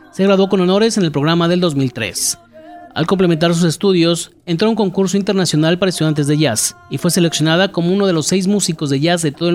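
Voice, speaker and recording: A man hosting a music show, reading scripted narration. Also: fairly high pitch. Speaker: radio music show host.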